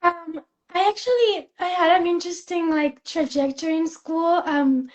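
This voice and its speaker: A young woman from Spain, a fashion student, chatting in an interview, soft-spoken with many fillers and restarts. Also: extremely high pitch, fairly even intonation, moderate pace, dull audio. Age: young adult. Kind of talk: casual interview chat.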